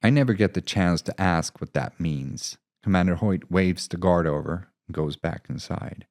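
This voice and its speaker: A man narrating and reflecting on his own writing in an unhurried, thoughtful way. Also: sits low, a little mellow. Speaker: author reading own short story. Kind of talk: scripted narration and casual reflective talk.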